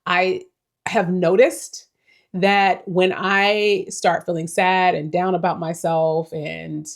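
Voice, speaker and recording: A woman's voice: fairly low pitch, level tone, slow pace. A woman in her forties giving a casual, rambling life update to her viewers, reflective and talking to them directly. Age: 40s. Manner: reflective, rambling, with small laughs. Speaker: vlogger.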